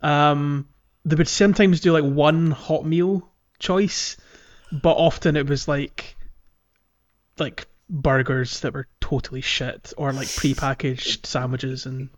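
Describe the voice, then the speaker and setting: A man's voice: on the high side, steady tone, takes it slowly. British man chatting on a lo-fi recording. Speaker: podcast host. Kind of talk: casual game discussion and chat. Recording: slightly dull, lo-fi audio.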